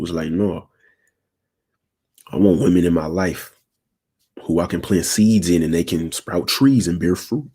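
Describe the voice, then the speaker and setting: A man in his twenties with a raspy voice and a sore throat, talking casually to viewers on his livestream and checking in with slangy asides. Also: deep voice, darkish tone. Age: 20s. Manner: slangy, keeps asking "you feel me". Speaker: channel host.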